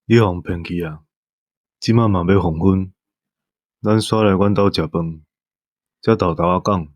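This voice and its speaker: A man's voice: sits low, unhurried, no echo, muffled recording. A man with a Taiwanese accent playing a hospitable, hearty middle-aged village headman and swordsman in an audio drama. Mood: hearty, inviting.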